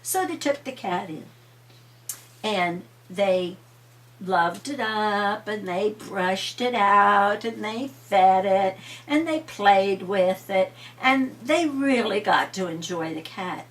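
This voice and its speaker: A woman's voice: mid-range voice, lively intonation, slow, distant mic. An older woman, a grandmother, telling bedtime stories in a homely, dramatic way. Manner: homely, dramatic, repeats refrains with emphasis. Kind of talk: animated storytelling for children.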